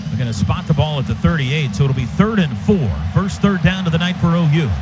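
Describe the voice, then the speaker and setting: A man's voice: a little high, animated, fairly quick. A man doing live radio play-by-play of American football in clipped, descriptive phrases. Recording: dull radio broadcast with clear background noise.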